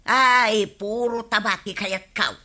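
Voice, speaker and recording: A woman's voice: mid-range voice, fairly even intonation, takes it slowly, sharp tone. An actress with an Ilocano accent playing a whiny, gluttonous village wife who insists on what she wants, in a radio comedy drama. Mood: demanding.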